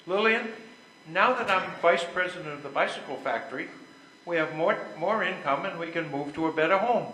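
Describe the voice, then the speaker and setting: Casting: older male amateur as earnest, conciliatory stage husband, bright tone, noisy echoey room. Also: sits high, expressive, fairly quick. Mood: earnest, pleading. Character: earnest, self-important but conciliatory husband, a factory vice president. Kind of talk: readers theater stage play. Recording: echoey room with clear background noise.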